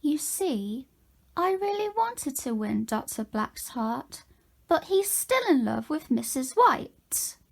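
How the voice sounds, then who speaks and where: A woman's voice: high-pitched, very animated, slow pace, vocal fry, full character voice. A woman playing a jealous, murderous widow in an amateur murder mystery audio drama, theatrical and emphatic. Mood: bitter confessing.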